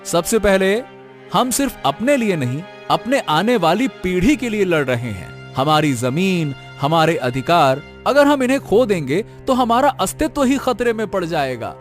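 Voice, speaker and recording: A man's voice: sits high, sing-song, normal speed, soft background music. A man voicing a determined village man who rallies others in an earnest, rousing way. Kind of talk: cartoon story voice acting.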